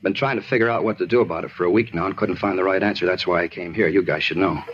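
A man's voice: deep voice, quick pace, close to an everyday voice. An American actor playing an uneasy, evasive young man in a 1950s radio drama, hesitant yet insistent. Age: young adult. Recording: muffled, slightly noisy 1950s radio recording.

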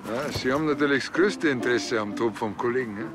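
A man's voice: medium pitch, fast, close to an everyday voice. An actor with a Bavarian accent playing a cheerful, naive, self-assured policeman in a TV crime comedy. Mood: insinuating. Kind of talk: naturalistic TV crime comedy acting.

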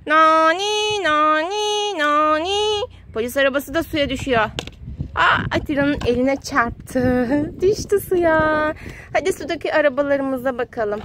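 A woman with a high, bright voice talks slowly and gently to her small child. She counts toy cars in a sing-song way and makes little engine and siren noises. It is recorded outdoors on a phone held close. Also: noisy background. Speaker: mother playing with her child. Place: outdoors in a garden.

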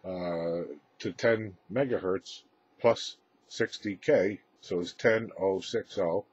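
A man giving a casual, unscripted equipment demonstration as presenter, explaining in a halting, matter-of-fact way, recorded indoors on a camera microphone. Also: low-pitched, a little mellow. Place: indoors, small room with radio gear. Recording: dull lo-fi camera audio, clear background noise.

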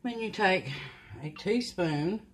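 An older woman at home giving plain, unhurried step-by-step cooking instructions in her kitchen. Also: mid-range voice, lively intonation. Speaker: home cook. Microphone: camera at the counter, off-screen speaker. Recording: noisy home recording. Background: mixer and kitchen clatter.

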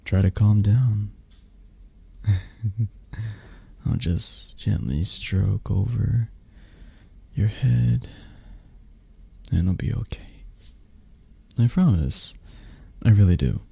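A man playing a possessive, tender mafia boss lover in intimate roleplay ASMR, murmuring softly and hesitantly with a breathy, gravelly voice. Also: on the low side, slow, long pauses, exaggerated voice. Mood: tender, loving.

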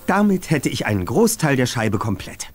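A man's voice: mid-range voice, very animated, normal speed, faint music behind, natural voice. A man playing a brainy, self-assured teenage boy detective in an adventure game, with precise, formal diction. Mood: analytical. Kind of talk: German adventure game voice acting.

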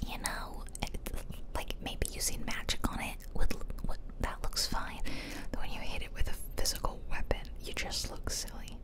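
A woman giving playful, chatty ASMR gameplay commentary in a whisper, close to the microphone. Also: normal speed. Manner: playful, chatty, self-amused. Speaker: game streamer and ASMR host. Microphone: close ear-to-ear microphone.

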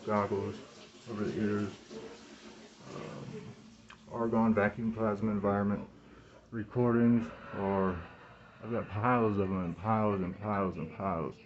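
A man in his thirties in a rambling, halting monologue, repeating words and phrases, recorded on a phone indoors through a cloth mask. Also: fairly low pitch, fairly even intonation, muffled recording, slight background noise. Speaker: vlogger talking to camera. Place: small room indoors. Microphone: phone at arm's length, speaking through cloth mask.

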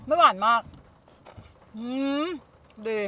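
A woman's voice: slow, very noisy. A woman in her thirties, vlogging casually as she walks a grocery store and tastes food in her car, talking straight to viewers, recorded on a phone held at arm's length. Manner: chatty, addresses viewers directly, excitable. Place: supermarket aisles and parked car. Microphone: handheld phone camera at arm's length. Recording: phone video with music underneath. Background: background music.

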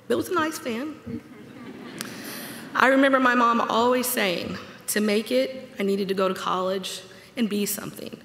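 Woman in her 40s, commencement speaker, gravelly edge, podium mic, reverberant hall. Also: mid-range voice, a bit bright, a little hiss or hum. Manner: earnest, personal, read from notes with light humor. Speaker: graduate speaker. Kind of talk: commencement speech. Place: large auditorium stage at a podium. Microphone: podium microphone. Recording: echoey hall with long reverb.

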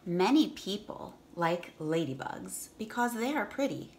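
A woman's voice: mid-range voice, expressive, unhurried, a little mellow, a little hiss or hum. A woman in her thirties reading a children's picture book aloud as a teacher, enunciating for young listeners and explaining as she goes. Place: room in a home.

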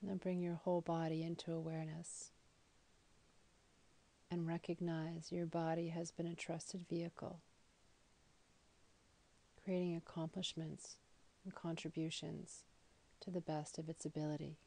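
A woman leading a guided meditation in a soothing, deliberate manner, with phrases that trail off softly. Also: low-pitched, flat delivery. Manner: soothing, slow and deliberate with soft, breathy phrase endings. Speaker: yoga nidra guide.

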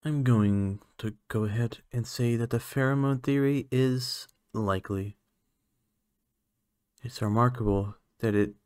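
A man voicing a curious, clinical alien scientist in a soft-spoken ASMR roleplay, measured and formal with hesitant moments. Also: on the low side, dry recording, studio quality.

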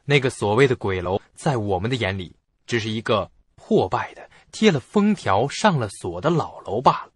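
A man's voice: fairly low pitch, big pitch swings, moderate pace, breathy edge, no echo, clean studio sound. A man narrating a suspense radio drama in scripted storytelling style.

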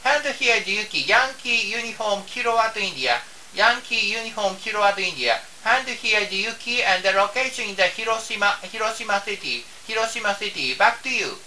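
Male amateur radio operator, Japanese accent, halting and repetitive, recorded off-mic with faint hiss. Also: high voice, expressive, pushed and bright, far from the mic, rough recording. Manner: halting, repeats phrases and spells out call signs. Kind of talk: ham radio contact. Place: home radio room indoors.

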